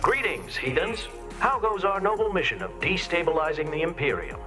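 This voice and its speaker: An actor playing a mischievous, flamboyant rebel senator in a video game, speaking in a theatrical, teasing way. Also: male voice, sits high, lively intonation, moderate pace, over music. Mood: grandly jovial. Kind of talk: scripted video game dialogue.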